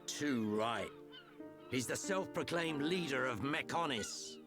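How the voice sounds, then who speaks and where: An actor playing a rough, blunt male veteran fighter who talks in a gruff, colloquial way. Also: medium pitch, expressive, takes it slowly, music in the background.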